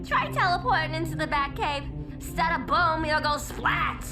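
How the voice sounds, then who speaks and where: A woman's voice: sits high, lively intonation, measured pace. A woman playing a cheeky, zany young antiheroine with a teasing, slangy delivery in a video game. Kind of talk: cartoonish video game acting. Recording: slightly distant, music behind.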